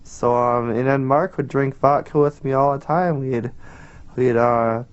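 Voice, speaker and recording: A man in a documentary interview, talking in a slow, rambling, earnest way. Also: mid-range voice, fairly even intonation, smooth. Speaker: film subject. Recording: muffled film audio with guitar music.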